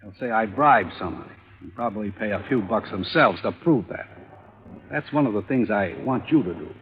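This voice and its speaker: A pushy big-money suspect who leans on cash to get his way, voiced by a man. His voice sits low and comes through thin and phone-like, as on an old radio broadcast. He bargains in 1950s radio drama style. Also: lively intonation, a little fast, lightly acted. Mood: pleading. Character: rich, pushy murder suspect, middle-aged man. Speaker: murder suspect.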